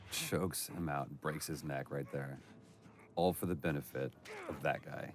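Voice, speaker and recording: Deep-voiced man in casual commentary. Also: steady tone, a little slow. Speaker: game actor giving commentary. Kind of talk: casual reflective group commentary.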